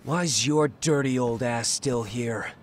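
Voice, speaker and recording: A woman voicing a surly, aggressive high school bully in a video game dub, hostile and scornful. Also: takes it slowly, a little hiss or hum.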